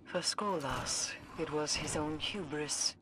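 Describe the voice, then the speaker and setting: A grim, haunted female warrior mystic from a video game. She speaks very slowly in solemn, formal phrases that sound ominous. There is ambient noise behind her. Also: low voice. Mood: ominous, mournful. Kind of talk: dramatic video game acting.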